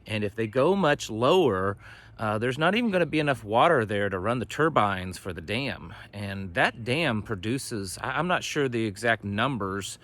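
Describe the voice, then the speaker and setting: A man's voice: fairly low pitch, animated, normal speed, a bit bright. A middle-aged American man hosting a homestead vlog, chatting casually and folksily to camera, rambling and trailing off mid-thought. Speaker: host of a homesteading and prepping channel. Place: outdoors on a homestead, ducks nearby.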